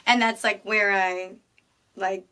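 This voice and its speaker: A woman's voice: mid-range voice, fairly even intonation, slow pace, bright, forceful tone, clean tone. A young adult woman from the New York and New Jersey area, a guest in a casual interview, talking in a bubbly, youthful way. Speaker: pop singer being interviewed. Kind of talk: casual chat.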